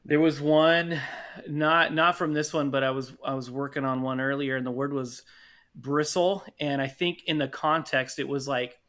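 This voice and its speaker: A man's voice: medium pitch, level tone, moderate pace, a bit bright. A man chatting casually as a podcast guest, telling anecdotes about his work. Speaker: podcast guest, audio drama producer.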